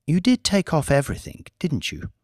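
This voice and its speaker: A man's voice: on the high side, wildly swooping pitch, moderate pace, darkish tone, dry recording, clean studio sound, pitched above the performer's own voice. A man voicing an earnest, secretive young woman in an audiobook, speaking in a confiding manner. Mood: coy.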